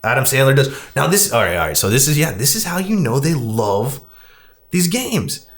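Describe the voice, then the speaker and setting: A man's voice: medium pitch, big pitch swings, normal speed. Man chatting as podcast host. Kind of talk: casual chat, recapping a film.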